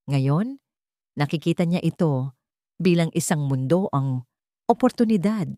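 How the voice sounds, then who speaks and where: A woman narrating a drama story in a measured, expressive storytelling manner. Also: low voice, no room sound.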